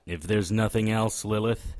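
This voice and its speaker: An actor playing a defiant, wisecracking hardboiled detective with a sardonic manner in an audio drama. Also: male voice, on the low side, steady tone, normal speed, a little mellow, natural voice.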